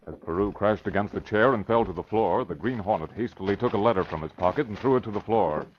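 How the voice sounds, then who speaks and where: A man's voice: on the low side, quick pace, slight background noise. A man narrating an old-time radio crime drama in scripted style. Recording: dull vintage radio recording with music. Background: dramatic music.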